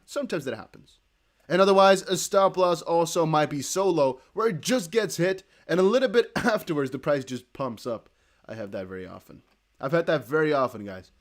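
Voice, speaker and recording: A young man with a Dutch accent, hosting a crypto livestream in casual chat, reading viewer comments aloud. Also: on the high side. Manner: chatty, animated, reads viewer comments aloud with quick laughs.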